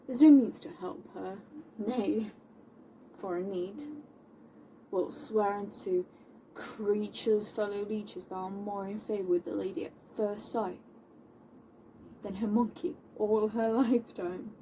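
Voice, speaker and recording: A young woman playing a defiant, scornful tomboy of seventeenth-century London in a classical stage monologue, declaiming indignantly with dramatic pauses. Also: mid-range voice, fairly even intonation, dark tone. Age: young adult. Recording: distant mic with faint background noise.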